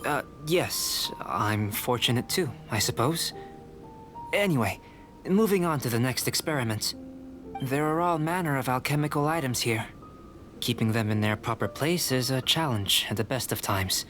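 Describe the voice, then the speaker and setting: A man voicing a calm, courteous alchemist researcher in a video game, speaking in a measured, formal, articulate way. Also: medium pitch, faint music behind, lightly acted. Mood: composed, dry.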